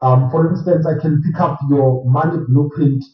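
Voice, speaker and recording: A man, a finance advisor explaining his approach step by step in a measured way, with frequent filler ums. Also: a little high, fairly even intonation. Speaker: personal finance advisor, guest. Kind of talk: explanatory interview answers. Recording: roomy, distant mic, dull sound.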